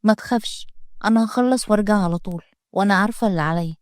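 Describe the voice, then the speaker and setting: A woman's voice: mid-range voice, very fast, no echo, studio quality, close to an everyday voice. A woman voicing a proud young student and nanny with an Egyptian accent, defensive and plainspoken in a storybook reading. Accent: Egyptian Arabic.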